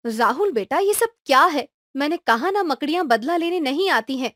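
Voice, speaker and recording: A woman's voice: sits high, expressive, moderate pace, a bit bright, dry recording, studio quality. A woman voicing a caring young mother in a cartoon story who scolds and reassures her son. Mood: firm. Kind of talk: cartoon voice acting.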